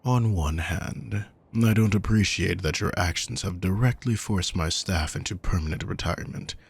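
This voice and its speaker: A man performs an aristocratic male villain in an intimate roleplay audio. The voice sits very deep, with a heavy creak and a raspy, breathy edge. He is possessive and quietly menacing, addressing the listener with condescending, darkly sardonic courtesy. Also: normal speed, heavily acted. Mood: coldly sardonic.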